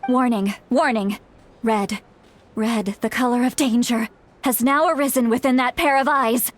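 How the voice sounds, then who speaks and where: A woman's voice: a little high, fairly even intonation, measured pace, lightly acted. An actress playing a grumpy, tipsy young-looking shaman granny in an anime game, drawling and touchy. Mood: trance-like chanting.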